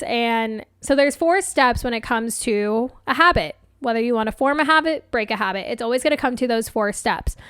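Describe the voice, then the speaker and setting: A young adult woman hosting a solo coaching podcast, chatty and upbeat with lots of fillers, her voice a bit hoarse. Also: a little high, fairly bright tone, dry recording, clean studio sound. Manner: chatty, upbeat, laughs often, many fillers. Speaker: podcast host and life coach. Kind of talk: casual solo podcast talk.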